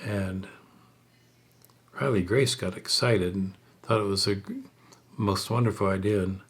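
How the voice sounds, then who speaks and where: A man telling a story aloud in a halting, measured way, unpolished and in short phrases. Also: low-pitched, fairly even intonation.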